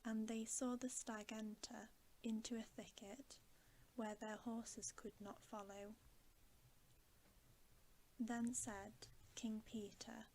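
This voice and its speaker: A woman reading a bedtime story in ASMR style, softly and often in a whisper, with gentle, deliberate phrasing. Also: a little high, level tone, a little hiss or hum, poor audio. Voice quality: soft, hushed, often whispered. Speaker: ASMR reader.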